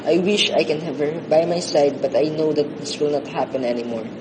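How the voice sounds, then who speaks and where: A man's voice: a little fast, lightly acted. A man playing a grief-stricken young man in love, narrating from a hospital bed in a radio drama. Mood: grieving, regretful. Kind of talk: scripted first-person radio drama monologue. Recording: clear background rumble and noise.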